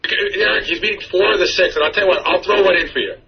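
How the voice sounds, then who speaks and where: Very fast, raspy radio guy. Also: high-pitched. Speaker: radio show staff member. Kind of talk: quick informal talk. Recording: slightly dull lo-fi radio recording.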